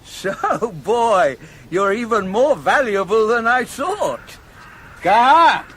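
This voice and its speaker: High-pitched, sneering, cruel outlaw lord. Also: male voice, lively intonation, slow pace, a bit bright, exaggerated voice. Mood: sneering menace. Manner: sneering, commanding, threatening. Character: cruel menacing outlaw lord, contemptuous, threatening a hostage boy. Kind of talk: radio drama acting.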